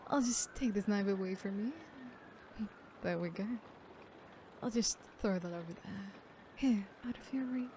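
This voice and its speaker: A woman playing a sadistic, smug female serial killer in an ASMR horror roleplay, theatrical and unhurried, often dropping into breathy whispers. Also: medium pitch, dark tone, a little hiss or hum, natural voice. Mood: smug, taunting.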